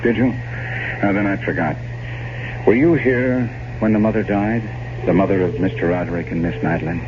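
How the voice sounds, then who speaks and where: Dull noisy vintage radio horror, male actor as rational gentleman guest, deep voice, grave, earnest, measured. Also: close to an everyday voice. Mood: brooding, insistent. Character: grave, rational gentleman houseguest growing obsessed. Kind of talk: old-time radio horror acting.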